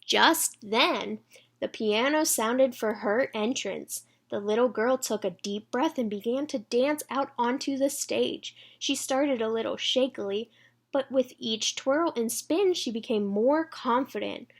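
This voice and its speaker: Lady with a highish voice doing storytime for kids, expressive, talks right to the listeners. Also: a little slow. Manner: engages young listeners with questions. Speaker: children's read-aloud reader. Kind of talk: expressive storybook read-aloud.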